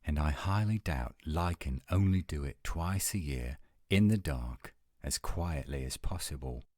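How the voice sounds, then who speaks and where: A man playing an adult male werewolf lover in a romantic roleplay audio, speaking in an intimate, measured, coaxing and reassuring way. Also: very deep voice, lively intonation, darkish tone, no echo, clean studio sound, full character voice. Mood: teasing, earnest. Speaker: voice actor.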